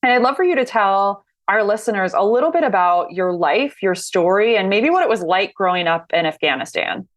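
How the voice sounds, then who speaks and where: A woman's voice: mid-range voice, expressive, a little fast, few pauses. A woman hosting a podcast interview, enthusiastic and asking long prepared questions. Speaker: podcast host.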